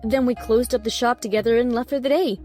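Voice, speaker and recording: A woman playing a confident pony shop owner in an audio drama, brisk and conversational. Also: fairly high pitch, steady tone, warm tone, faint music behind, lightly acted. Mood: brisk, agreeable. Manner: businesslike, conversational. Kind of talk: fan audio drama acting.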